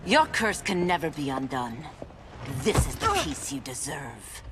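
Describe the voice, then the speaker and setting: A stern warrior princess, low in pitch and expressive in range. She speaks with proud, lofty command, as in a game cutscene, over loud music. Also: a little slow, exaggerated voice. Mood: stern resolve. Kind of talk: video game voice acting.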